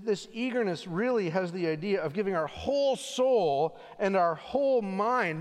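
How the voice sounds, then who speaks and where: A male pastor with a high, clear voice delivering an earnest sermon and rising in force on key points. His words hang in a large church hall with a long reverb. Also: a bit bright.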